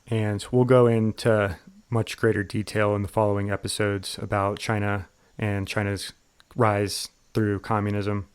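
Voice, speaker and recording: A man hosting a solo history podcast, reading out documents and explaining events in a measured, steady way. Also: fairly low pitch, clean tone, dry recording. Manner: measured, steady delivery with occasional fillers.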